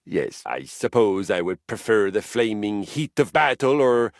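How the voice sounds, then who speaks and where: A man's voice: on the low side, expressive, a little slow, no room sound, clean studio sound. An actor voicing a boisterous, violence-loving male exercise machine with a Viking spirit, in grand, archaic, theatrical speech. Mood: proud. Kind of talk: game voice lines. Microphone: close studio mic.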